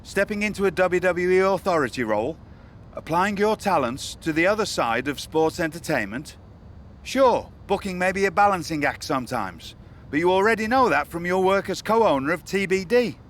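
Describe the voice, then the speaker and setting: A man playing a smooth-talking, evasive wrestling show manager in a video game cutscene. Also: high-pitched, animated, moderate pace, fairly bright tone, slight background noise.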